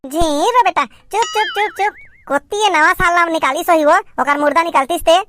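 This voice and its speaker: A woman's voice: extremely high pitch, normal speed, fairly bright tone, a little hiss or hum. A woman voicing an exasperated, nagging village mother with a Chhattisgarhi accent in a cartoon comedy. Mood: exasperated, scolding. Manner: nagging, grumbling. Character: exasperated young village mother hushing her baby. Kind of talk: amateur cartoon comedy dialogue.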